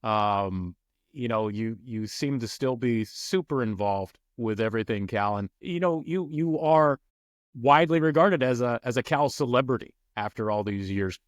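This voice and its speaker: A man's voice: medium pitch, expressive, normal speed, no echo, studio quality. A man hosting a podcast, interviewing in a casual, conversational way. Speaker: podcast host.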